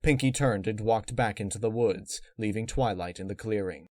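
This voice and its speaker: A man's voice: on the low side, moderate pace, darkish tone, no echo. Dry-sounding man narrating a story. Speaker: narrator of fan fiction.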